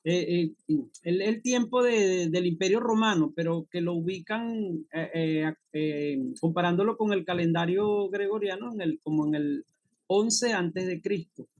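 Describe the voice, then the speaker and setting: High-voiced hesitant man from Venezuela. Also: unhurried, a little mellow, no room sound, lo-fi sound. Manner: hesitant, many fillers. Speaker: workshop participant. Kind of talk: online group discussion.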